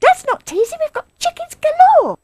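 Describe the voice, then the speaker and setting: A woman voicing an eager small animal child in a children's story, squeaky and keen. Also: big pitch swings, moderate pace, darkish tone. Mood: gleeful. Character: eager, polite little child animals, young badger and foxes.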